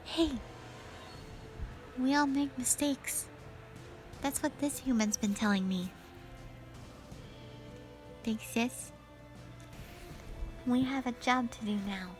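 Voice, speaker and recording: A plucky young witch voiced by a woman speaks in an audio drama as the little sister. Her voice is fairly high and very slow, soft and earnest, with light music behind.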